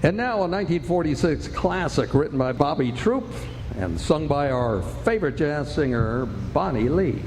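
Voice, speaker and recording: A man playing an upbeat 1940s radio announcer, reading product pitches with polished, emphatic salesmanship. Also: big pitch swings, normal speed. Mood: smooth selling. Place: live stage performance in a large indoor space. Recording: echoey hall, faint background noise.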